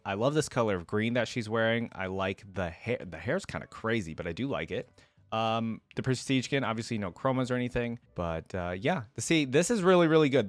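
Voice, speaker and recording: A man hosts a reaction video in an informal, conversational way. His voice sits at an average male pitch and is recorded very dry, with little room sound. He comments off the cuff, often hedging and rephrasing as he goes. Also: no echo. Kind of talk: casual reaction commentary, partly reading aloud from a wiki.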